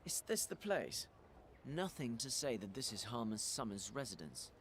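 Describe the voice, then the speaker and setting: A skeptical fantasy-game adventurer. His mid-range voice is animated, with dry, pointed questioning. Noticeable ambient noise sits behind the dialogue. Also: mid-range voice, moderate pace. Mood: serious, alert. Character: skeptical, inquisitive male adventurer with dry wit.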